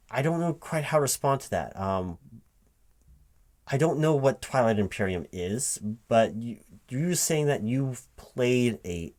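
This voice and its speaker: A man playing a baffled, incredulous recruiting manager in a spoken ASMR job interview roleplay, halting and repeating himself in disbelief. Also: on the low side. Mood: puzzled, polite. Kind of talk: one-sided ASMR roleplay, softly paced but spoken aloud, improvised.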